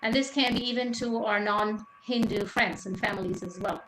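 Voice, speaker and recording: Woman teacher from Sri Lanka, panel speaker; earnest, halting delivery, roomy echo with faint hiss. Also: medium pitch, fairly even intonation. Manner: earnest, with many fillers. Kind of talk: panel discussion remarks. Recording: echoey room, faint noise.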